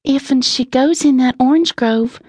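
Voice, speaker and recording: A sweet seven-year-old country girl, acted by a woman narrator, raised in pitch. She drawls softly in Southern dialect, knowing and unafraid, like a storybook child. The recording is close and dry. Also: no room sound. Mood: lively, warning. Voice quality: slow, soft, sweet. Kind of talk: audiobook character reading.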